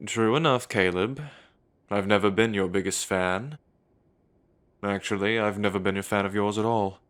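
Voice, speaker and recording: A man's voice: on the low side, steady tone, normal speed, fairly bright tone, natural voice. A man playing a scornful werewolf elder. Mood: contemptuous. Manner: cold, contemptuous, threatening. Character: hostile proud male werewolf council elder. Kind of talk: audio drama acting.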